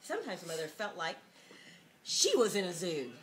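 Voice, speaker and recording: A woman's voice: fairly low pitch, sing-song, normal speed, slight background noise. A woman giving a mock-dramatic reading of a children's picture book, with jokey, sardonic, giggly asides, on an amateur home video.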